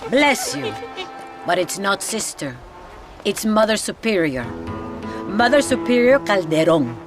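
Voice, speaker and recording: A woman playing a shrewd, worldly Mexican nun in charge of a convent in a video game, speaking formally and sardonically with a Mexican Spanish accent. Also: lowish voice. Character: shrewd, wry Mexican mother superior, devout yet worldly. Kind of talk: video game cutscene acting.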